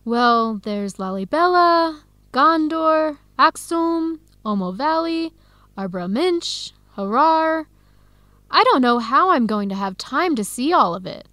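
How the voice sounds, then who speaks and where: A woman playing a cheerful, adventurous young American in a scripted radio drama, speaking clearly and brightly with an American accent. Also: high voice, animated, slow, dry recording, exaggerated voice. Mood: enthusiastic. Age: young adult.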